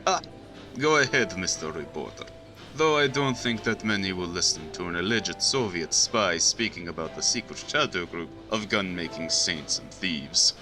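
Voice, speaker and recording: Blunt Russian spy: low-pitched, swinging intonation, dry broken English, audio drama. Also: male voice, animated, moderate pace, music in the background. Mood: blunt, sardonic. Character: blunt male Soviet prisoner, alleged spy, sardonic storyteller.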